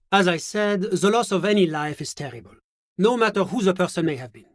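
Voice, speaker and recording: A high-voiced, prim, smug bureaucrat. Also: male voice, dry recording, studio quality. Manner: polite, prim, officious, measured formal phrasing. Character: genteel, smug bureaucrat, devoted moralist and institutional loyalist. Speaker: video game voice actor. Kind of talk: scripted game dialogue.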